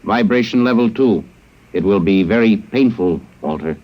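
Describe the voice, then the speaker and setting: A man playing a cold, logical male alien captor in a 1950s radio drama, speaking in flat, clipped, mechanical sentences, heard on a muffled vintage broadcast recording. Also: on the low side, moderate pace.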